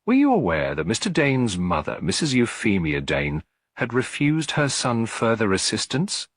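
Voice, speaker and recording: A man voicing a kind lady detective in a mystery story, asking questions in a gentle, polite, tactful way. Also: mid-range voice, huge pitch swings, moderate pace, no echo, clean studio sound, voice pushed up. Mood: kindly, inquisitive. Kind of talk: detective story character reading.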